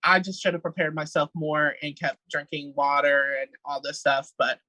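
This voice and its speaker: A man's voice: on the high side, level tone, moderate pace, pushed and bright, no echo. A young man, a singer, chatting playfully as a podcast guest and laughing often. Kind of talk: casual interview chat.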